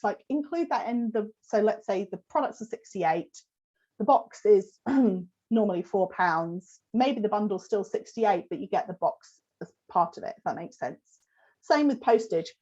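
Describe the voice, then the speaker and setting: Croaky woman giving a webinar. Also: medium pitch, darkish tone, highs cut off. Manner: conversational, many fillers, answers chat questions. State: croaky from a cold. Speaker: host giving a business talk. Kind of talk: live webinar with Q&A.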